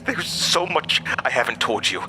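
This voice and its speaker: A man playing a menacing, wounded entity in a horror audio drama, pleading and threatening in a gravelly voice. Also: medium pitch, big pitch swings, lightly acted. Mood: desperate bargaining. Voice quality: gravelly, creaky. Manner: slow, halting, repetitive pleading and threats. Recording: voice under loud noise.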